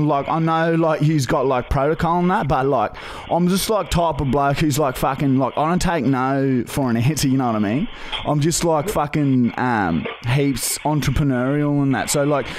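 A man's voice: on the high side, a little hiss or hum, close to an everyday voice. A young Australian man playing a pushy, dim, matey bogan begging for work on a prank call, rambling and swearing heavily in slang. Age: young adult. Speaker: prank caller and podcast host. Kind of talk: improvised prank phone call. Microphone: boom-arm studio microphone, phone held to face.

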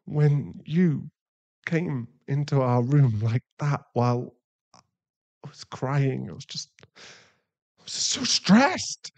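Slow, fragile werewolf boyfriend played by a man. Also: fairly high pitch, darkish tone. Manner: halting, pleading, emotionally fragile. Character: distraught, guilt-ridden male werewolf boyfriend. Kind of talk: intimate ASMR boyfriend roleplay.

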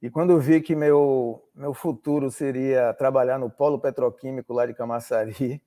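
Um homem na casa dos cinquenta, de Salvador, com sotaque baiano, contando sua trajetória numa entrevista por videochamada, com pausas e repetições. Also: male voice, mid-range voice, steady tone, warm tone. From Salvador, Bahia, Brazil. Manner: hesitant, repeats words while recalling. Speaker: interviewee, oceanographer. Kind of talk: relaxed storytelling interview. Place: home room with shelves. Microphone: video call.

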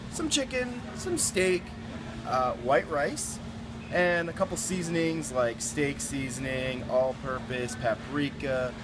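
A man's voice: fairly high pitch, background noise. A young man with an American accent casually explaining a recipe as a cooking show host, playful and slangy. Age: young adult. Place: home kitchen and grocery store, indoors.

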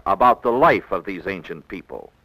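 An American man narrating a 1960s educational film in a measured, didactic, clearly enunciated way, on an old film soundtrack. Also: deep voice, lively intonation, sharp tone, no echo, poor audio.

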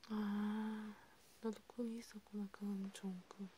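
A woman whispering softly in a halting, conversational way, as in an ASMR counseling roleplay. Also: mid-range voice, level tone, husky. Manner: hushed, slow, halting, conversational. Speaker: ASMR creator playing a counselor. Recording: noticeable background hiss.

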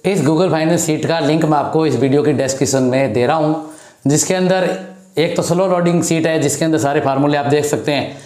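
A middle-aged man, slightly hoarse from a throat infection, teaching a finance lesson at a blackboard and repeating the key numbers step by step. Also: fairly high pitch, fairly quick, a little mellow, echoey room. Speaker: stock market educator. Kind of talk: blackboard lesson and screen walkthrough. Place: indoor room with a blackboard.

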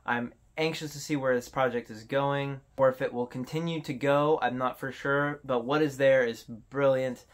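Man, mid-pitched voice, countdown host, casual commentary, informal, self-deprecating. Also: fairly even intonation, normal speed, hardly any pauses. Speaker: host of a horror video countdown.

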